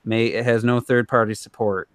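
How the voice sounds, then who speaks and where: A man's voice: medium pitch, steady tone, normal speed, dry recording, studio quality. A man co-hosting a podcast, talking casually and giving his opinions in a rambling, conversational way. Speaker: podcast co-host.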